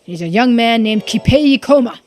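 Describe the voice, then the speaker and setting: High, lively woman reading game dialogue. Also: moderate pace. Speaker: streamer voicing a game playthrough. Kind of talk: expressive read-aloud of game dialogue.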